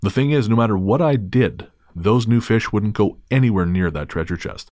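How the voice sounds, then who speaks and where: A man's voice: fairly low pitch, normal speed, a little mellow, no room sound. A man hosting a podcast, chatting casually and with dry humor, sometimes reading a listener's story aloud. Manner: wry, joking, hesitant restarts. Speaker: podcast host.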